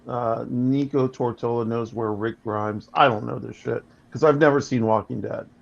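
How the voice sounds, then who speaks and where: Creaky, deep-voiced chatty person, lo-fi. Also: male voice, steady tone, normal speed. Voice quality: voice on the deep side. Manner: chatty, laughs often, acts out quotes in a higher voice. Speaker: co-host. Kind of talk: casual chat, playing a party game. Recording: dull lo-fi livestream audio.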